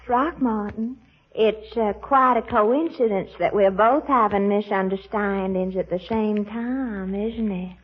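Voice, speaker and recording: An actress playing a gushing, coquettish Southern belle with a fluttery drawl in a 1940s radio comedy. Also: female voice, mid-range voice, slow. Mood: coy. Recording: muffled lo-fi 1940s radio recording.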